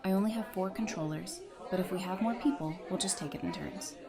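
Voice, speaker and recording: A woman's voice: mid-range voice, fairly quick, over music, a crowd in the background, lightly acted. A woman playing a friendly, easygoing survivor in an audio drama, chatty, informal and reassuring. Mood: upbeat casual. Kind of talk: fan audio drama acting, casual dialogue.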